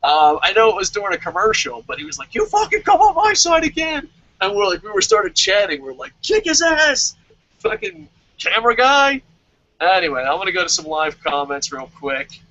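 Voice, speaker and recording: A man hosting a casual wrestling review chat, excitable, stammering at times and bursting into loud animated quotes. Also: high voice, normal speed. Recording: dull lo-fi audio.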